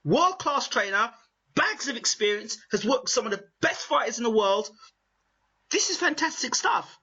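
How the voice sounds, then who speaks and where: A man with a strong British accent interviewing a guest, building his questions in long excited runs. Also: extremely high pitch, moderate pace, husky. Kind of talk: animated interview chat. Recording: muffled lo-fi audio.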